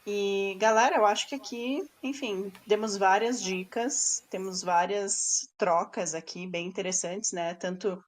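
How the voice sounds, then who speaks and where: A woman's voice: medium pitch, measured pace, few pauses. A Brazilian woman hosting a podcast, steadily moderating the conversation. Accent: Brazilian Portuguese. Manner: steady, moderating, wrapping up points. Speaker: podcast host. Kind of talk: casual chat.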